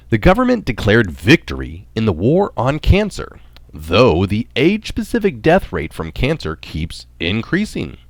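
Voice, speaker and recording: A man's voice: deep voice, dry recording. A man reading a written article aloud in the manner of a narrator. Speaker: reader of recorded articles.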